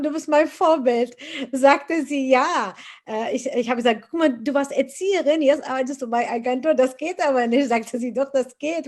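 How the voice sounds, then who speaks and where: A middle-aged woman from Iraq who speaks German, telling her own story in an interview, earnest and animated, with frequent hesitations. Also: sits high.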